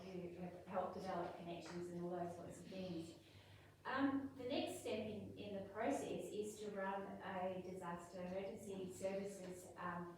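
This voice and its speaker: An Australian woman with a quiet voice addresses a community meeting as program facilitator, chatty and full of ums. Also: mid-range voice. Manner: chatty, frequent ums, warm thanks. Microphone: far room mic. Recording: echoey hall, distant mic, noisy.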